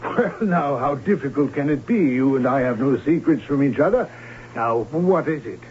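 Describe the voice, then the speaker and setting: An actor playing a genial, concerned gentleman brother in an old radio drama, brisk and solicitous. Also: mid-range voice, lively intonation, natural voice. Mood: impatient concern. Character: genial, concerned brother, head of castle household. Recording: muffled, hissy old radio broadcast.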